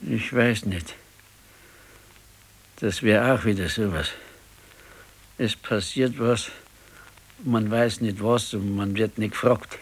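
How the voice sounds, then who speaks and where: An actor playing an ailing, brooding old retired husband in a radio play, with a Bavarian accent and a resigned, halting, plain-spoken manner. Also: male voice, fairly low pitch, breathy edge, full character voice. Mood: brooding, regretful. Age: older. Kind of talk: naturalistic 1970s radio drama acting.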